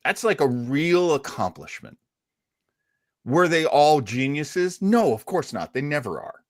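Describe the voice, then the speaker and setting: A man's voice: a little high, big pitch swings, unhurried, no echo, studio quality. A man hosting a casual talk show discussion, emphatic and given to repeating phrases to make his point. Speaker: podcast host.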